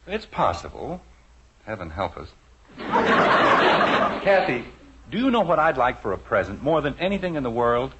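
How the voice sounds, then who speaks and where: A man's voice: medium pitch, huge pitch swings, unhurried, long pauses. An actor playing a genial, mildly exasperated middle-aged American father in a 1950s radio sitcom. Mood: patient, amused. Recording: noisy, dull old radio recording.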